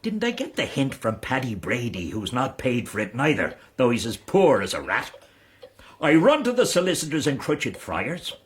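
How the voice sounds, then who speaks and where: An actor playing a roguish, fawning Irish knight and debt-dodging fixer in a radio period drama, speaking with an Irish accent and theatrical flourishes. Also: male voice, medium pitch, wildly swooping pitch, normal speed, slight background noise. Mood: boastful, sly. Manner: theatrical, ingratiating, rhetorical flourishes.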